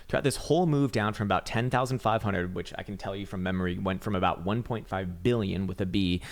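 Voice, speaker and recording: A raspy-voiced man giving casual, rambling chart analysis, swearing now and then and full of fillers and restarts. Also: deep voice, fast talker. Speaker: market analyst.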